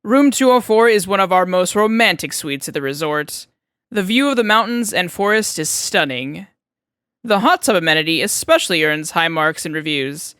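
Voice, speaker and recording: Woman playing young man at a front desk, courteous, loud, customer-service patter, audiobook dialogue. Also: fairly low pitch, expressive, moderate pace, clean tone, dry recording, studio quality. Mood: cheerful, courteous. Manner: polite, salesy customer-service delivery. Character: loud, courteous young male hotel clerk. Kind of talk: fan fiction audiobook character reading.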